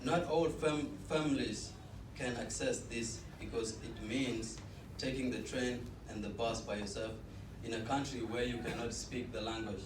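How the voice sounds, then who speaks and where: An eighteen-year-old man from South Sudan, a student cultural ambassador, reading an earnest personal statement with small restarts. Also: mid-range voice. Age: teens. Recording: echoey room, distant mic, noisy.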